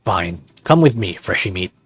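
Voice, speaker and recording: A man's voice: low-pitched, animated, moderate pace, husky, no echo, voice pushed down. A man voicing a big, surly male furry lab lead in a visual novel, curt and sarcastic. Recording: music playing behind close dry voice.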